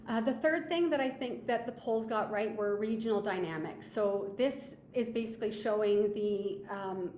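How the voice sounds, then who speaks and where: Woman, mid-pitched voice, measured analytical presentation, hesitant phrasing, echoey room. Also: darkish tone. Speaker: webinar presenter, political analyst. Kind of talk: slide presentation of polling analysis. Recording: echoey room with faint background noise.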